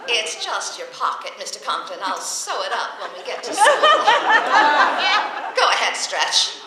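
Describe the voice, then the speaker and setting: Echoey sound, woman acting as wry American schoolteacher, lively swooping pitch, dry wisecracks, radio comedy. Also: takes it slowly, over a noisy crowd, full character voice. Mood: wry politeness. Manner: dry, wisecracking, crisp delivery. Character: wry, quick-witted adult woman schoolteacher. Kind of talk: old-time radio comedy acting and narration. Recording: echoey, faintly noisy stage recording.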